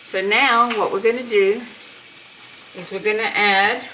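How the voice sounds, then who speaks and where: A woman's voice: medium pitch, steady tone, bright, forceful tone, slight background noise, poor audio. Homey woman cooking over a sizzling pan. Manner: unhurried, homey, talks while cooking with many fillers. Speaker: cooking channel host. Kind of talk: casual cooking demonstration. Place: home kitchen. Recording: home kitchen with sizzling pan. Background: frying and sizzling.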